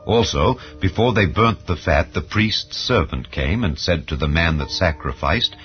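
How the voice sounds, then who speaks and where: A man narrating scripture as a dramatized reading, in a solemn, formal and measured storytelling manner. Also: low voice, expressive. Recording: muffled lo-fi audio, music bed. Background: background music.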